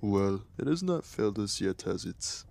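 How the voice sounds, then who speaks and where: Man acting a low, solemn warrior father. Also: sing-song, moderate pace, creaky, darkish tone. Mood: quiet, earnest. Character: devoted ninja father, brooding skilled warrior, telling a bedtime legend. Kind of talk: dramatic storytelling in an audio drama.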